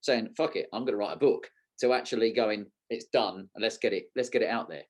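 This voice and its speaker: A man's voice: fairly low pitch, fairly quick, a little mellow. A man hosting a podcast, putting questions in an informal, conversational and slightly rambling way. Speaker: podcast host, interviewer. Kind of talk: casual conversational interviewing.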